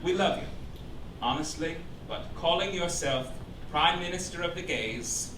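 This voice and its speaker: A noisy, echoing live club recording carries a man's animated stage voice. He reads satirical rulings like a pompous chairman, arch and campy, landing each punchline with emphasis. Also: fairly high pitch, a little slow. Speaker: comedian presiding as chairman of a mock club. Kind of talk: scripted satirical stage monologue, read as official rulings. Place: live cabaret club stage before an audience. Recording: roomy live club recording, distant, loud noise. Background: audience laughter, loud room noise.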